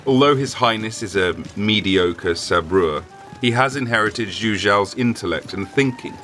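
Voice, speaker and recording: A man's voice: on the low side, normal speed, bright, forceful tone, soft background music. A man voicing a grumpy, haughty old swordsman who talks down to everyone, in a storybook reading. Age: older. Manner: haughty, drawling, dismissive.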